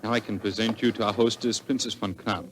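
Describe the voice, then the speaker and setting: Man playing a suave, low-voiced theatrical count. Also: fairly even intonation, a little fast, lightly acted. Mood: suave, genial. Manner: formal, flowery, theatrical. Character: suave, florid Viennese count and police official. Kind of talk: 1940s radio drama acting. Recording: noisy vintage 1940s radio recording.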